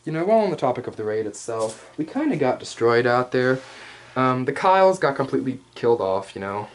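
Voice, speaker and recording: A man voicing a male hyena furry commander in a casual, rambling, playfully cocky ASMR roleplay, speaking straight to the listener with slangy asides and hesitant pauses. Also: medium pitch, big pitch swings, close to an everyday voice. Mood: casual, boastful. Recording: clear background noise.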